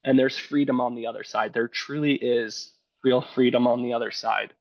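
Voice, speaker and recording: A hoarse man talks slowly and earnestly, leaning into words of encouragement. His voice comes over a dull, lo-fi video call line that sounds dry and close. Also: mid-range voice, steady tone, no room sound. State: hoarse from bronchitis. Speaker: podcast guest. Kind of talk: remote interview.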